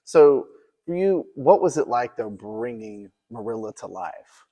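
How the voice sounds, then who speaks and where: A man's voice: medium pitch, expressive, a little slow, mellow, dull audio. A man interviewing a guest in a conversational, promotional style, speaking with effusive enthusiasm as the host. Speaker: interviewer, museum event host.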